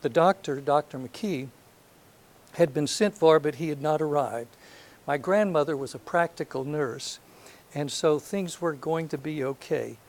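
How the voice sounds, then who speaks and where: An older man from North Alabama with a soft voice, giving a reflective lecture to an audience, hesitant and full of ums, with gentle self-deprecating humor. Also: fairly high pitch, measured pace. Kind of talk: last lecture, reminiscence. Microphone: handheld or podium microphone.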